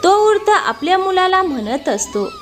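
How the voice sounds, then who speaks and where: A woman's voice: very high-pitched, big pitch swings, moderate pace, a bit bright. A woman reading scripted storytelling narration as the narrator of a moral tale. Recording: music behind the voice.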